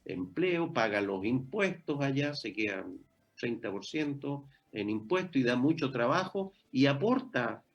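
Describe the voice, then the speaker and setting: Husky-voiced Chilean man on talk radio. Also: mid-range voice, expressive, a little slow, dull audio. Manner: conversational, many hesitations and fillers. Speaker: radio show co-host. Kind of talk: casual radio discussion.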